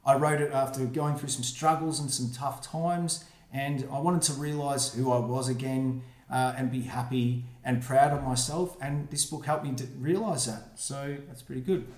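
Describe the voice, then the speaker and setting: A man, the book's author, reading his rhyming picture book aloud for children, with quiet asides about the pictures. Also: medium pitch, fairly even intonation, normal speed. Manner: friendly, reads rhyming verse with quiet asides. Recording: roomy echo.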